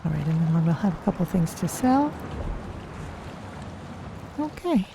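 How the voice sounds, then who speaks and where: A streamer voicing a gentle, polite cat-folk adventurer, musing unhurriedly through a fantasy video game. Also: mid-range voice, big pitch swings, measured pace, vocal fry, background noise.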